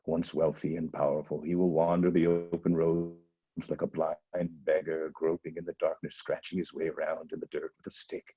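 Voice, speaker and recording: An actor playing a stern, foreboding blind old prophet in a dramatic reading over a video call, with a low, husky, deliberate delivery. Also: male voice, flat delivery, dark tone. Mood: grim foreboding. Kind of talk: Zoom dramatic reading of a Greek tragedy. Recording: dull lo-fi video call audio.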